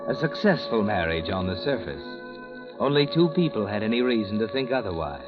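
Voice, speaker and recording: An actor playing a mysterious male narrator who walks by night, telling a 1940s radio drama in a slow, knowing voice, on a dull old broadcast with loud music behind. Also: on the low side, sing-song. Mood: brooding. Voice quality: slow, ominous, knowing delivery.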